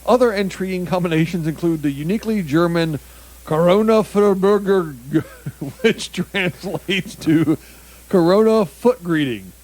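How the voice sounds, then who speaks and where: A man's voice: sits high, a little slow, a little mellow, poor audio. Giggly man reading odd news aloud. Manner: reads odd news stories aloud, stumbling over foreign words and laughing mid-sentence. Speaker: comedy podcast co-host. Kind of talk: casual comedic news banter.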